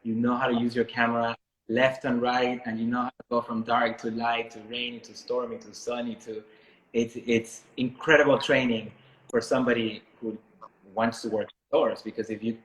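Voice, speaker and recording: A man speaks in an echoey room with a mid-range voice. He talks casually, as an artist being interviewed. His manner is thoughtful and halting, often searching for the next word. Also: medium pitch, slight background noise. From Miami, United States, born in Ecuador. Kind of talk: casual reflective interview talk.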